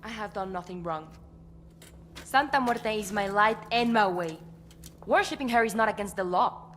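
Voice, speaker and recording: Game cult priestess female actor, mid-pitched, Latin American Spanish accent, devout, defiant, unyielding, faint music underneath. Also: moderate pace, fairly bright tone, close to an everyday voice. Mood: solemn defiance. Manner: solemn, fervent, unyielding. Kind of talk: dramatic video game cutscene acting.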